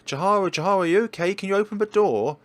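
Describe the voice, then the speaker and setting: A man voicing a frightened, pleading high-school student in a visual novel, urgent and desperate. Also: very fast, slight background noise, lightly acted. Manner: urgent, emphatic, stammering under shock. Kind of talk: dramatic visual novel voice acting.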